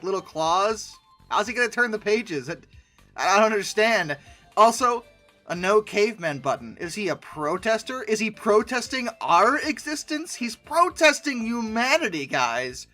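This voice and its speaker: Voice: mid-range voice, bright, forceful tone. A person giving humorous reaction commentary in a sarcastic, exasperated manner. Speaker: host of a commentary video.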